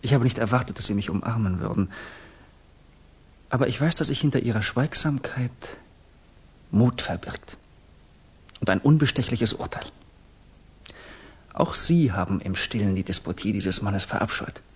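An actor playing a fervent young student revolutionary who confides in a deliberate, rhetorical way, in 1960s German radio drama style. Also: male voice, on the low side, expressive, breathy edge. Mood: earnest, confessional.

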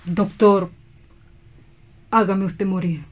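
A woman playing a grief-stricken, guilt-ridden doctor in a radio drama, solemn, halting and imploring. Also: mid-range voice, fairly even intonation. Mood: pleading.

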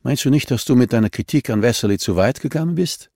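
A man's voice: medium pitch, lively intonation, fast talker, creaky, mellow, dry recording, clean studio sound, pitched above the performer's own voice. A man voicing a terse, guarded male investigator in an audiobook, curt and reserved.

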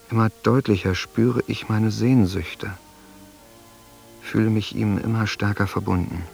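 An actor in a radio drama playing a brooding grown son who reads his diary in a sombre, introspective, measured way. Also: on the low side, creaky, darkish tone.

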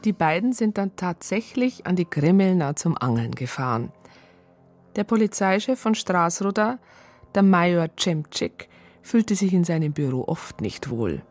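A woman with a husky voice reading scripted narration for a radio drama. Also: on the low side, very animated, measured pace, darkish tone.

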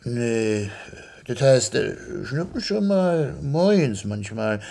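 Radio play: chatty older Berlin tradesman, rough fry in the voice, plain-spoken and dramatic. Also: medium pitch, sing-song, slow pace, mellow, exaggerated voice. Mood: chatty, stubborn. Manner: colloquial, rambling, dramatic storyteller. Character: chatty, stubborn, plain-spoken older retired baker, a hospital patient. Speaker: patient. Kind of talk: German radio play acting.

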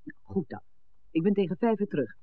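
An actress playing a gentle, anxious, hesitant young daughter in a 1960s radio drama. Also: female voice, medium pitch, sing-song, brisk, breathy edge. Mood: gentle, concerned. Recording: tinny 1960s radio recording.

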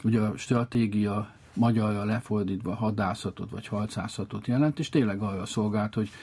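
Low, husky man explaining formally. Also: steady tone, warm tone. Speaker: interviewed chess organiser. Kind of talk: explanatory interview talk.

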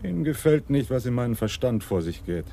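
A man's voice: medium pitch, big pitch swings, fairly quick, vocal fry, dark tone. A man playing a cool, defiant crime suspect with curt answers in a radio drama. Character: defiant, cool male suspect nicknamed tomcat. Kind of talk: 1980s German radio play acting.

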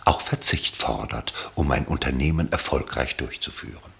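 Very deep, creaky male narrator. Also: moderate pace, a bit bright. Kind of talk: scripted literary narration of a radio play.

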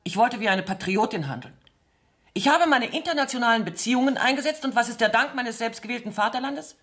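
An actress in a German radio play voicing a seductive, mocking dancer accused of espionage, theatrical and ironic, sometimes dropping into breathy murmurs. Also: female voice, a little high, expressive, fairly quick, a bit bright. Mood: indignant.

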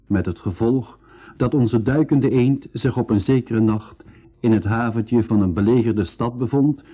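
An actor with a Belgian Dutch accent narrating an adventurer's voyage in formal, measured, literary language for a radio play. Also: male voice, medium pitch, slightly rough, highs cut off. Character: male adventurer narrating his voyage log.

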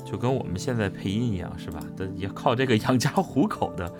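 Animated man chatting over music. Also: fairly low pitch, fast talker. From Henan, China. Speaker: host and voice actor. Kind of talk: casual chat commenting on an audio drama. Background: audio drama and music playing.

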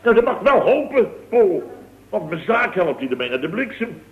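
A man's voice: sits high, very animated, moderate pace. A man acting an exasperated, sarcastic company boss in an old radio comedy, grumbling emphatically. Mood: sarcastic indignation. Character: blustering, exasperated, sarcastic male boss of a building firm. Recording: dull old radio recording.

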